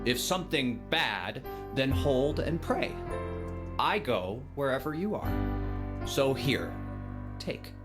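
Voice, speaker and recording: A man's voice: mid-range voice, big pitch swings. A man voicing a shy, earnest schoolboy with an awkward, stammering delivery in a visual novel reading. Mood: mock-solemn, tender. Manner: halting, stammering. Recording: music playing loudly behind.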